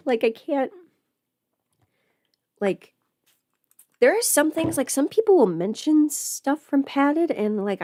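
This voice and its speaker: A woman's voice: fairly high pitch, warm tone, no room sound, clean studio sound. A woman chatting informally on a livestream, rambling and thinking aloud as she goes. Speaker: livestream host, audio creator. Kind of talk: casual livestream chat.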